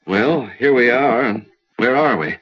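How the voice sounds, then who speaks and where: A man's voice: medium pitch, animated, a little slow, close to an everyday voice. An actor playing a plain-spoken, loyal frontier townsman in an old radio western, with an American West accent and cowboy slang. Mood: uneasy. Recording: noisy, dull old radio recording.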